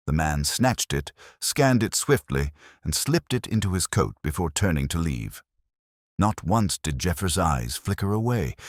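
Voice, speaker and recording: Male audiobook narrator: extremely low voice, lively melodic range, measured literary read of period mystery, dry sound. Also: no room sound. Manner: measured, literary, theatrical delivery. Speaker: audiobook narrator playing Dr. Watson. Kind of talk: scripted dramatic narration of a Victorian detective story.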